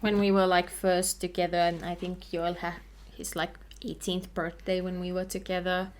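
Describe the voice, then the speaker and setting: A woman's voice: lowish voice, level tone, normal speed, a little hiss or hum. A young woman from Finland with a Finnish accent, hosting a casual chat and often laughing at herself. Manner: chatty, self-deprecating, laughs often. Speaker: channel host.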